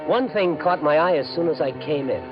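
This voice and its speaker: A man's voice: fairly high pitch, expressive, a little fast, natural voice. An American actor playing a desperate everyman gambler in a 1950s radio drama. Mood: tense, brooding. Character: desperate everyman gambler, a husband narrating his troubles. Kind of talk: 1950s radio drama acting, first-person narration. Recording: dull 1950s radio recording, music behind.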